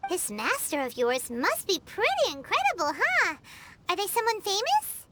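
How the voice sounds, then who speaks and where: A woman voicing a tiny, cheerful, inquisitive fairy girl with a squeaky, childlike voice in an anime game. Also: big pitch swings, moderate pace, slight background noise, full character voice. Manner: speaks of herself in the third person. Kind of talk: anime game character dialogue. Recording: loud game music behind the voice.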